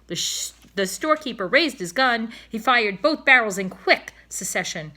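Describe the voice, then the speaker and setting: A woman reading a children's story aloud expressively, in deliberate, clearly phrased narration. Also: a little high, animated, sharp tone. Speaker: storybook reader.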